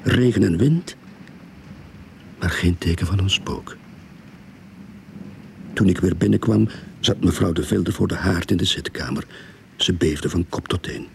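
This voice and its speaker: An actor playing the first-person narrator of a suspense radio drama, telling it in a hushed, tense way. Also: male voice, deep voice, measured pace, vocal fry, pauses often. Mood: suspenseful. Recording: faint background noise.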